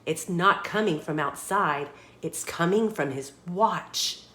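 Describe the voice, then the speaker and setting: A woman reading a children's picture book aloud as a storytime host, expressive and dramatic, putting questions to young listeners. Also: on the low side, slow.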